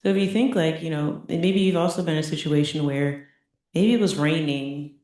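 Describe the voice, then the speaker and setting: A woman with a low, dusky voice gives a relaxed, chatty meditation teaching. She keeps checking in with her listeners and laughs lightly between points. The sound rings around a very echoey room. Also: a little fast. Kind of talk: conversational dharma talk.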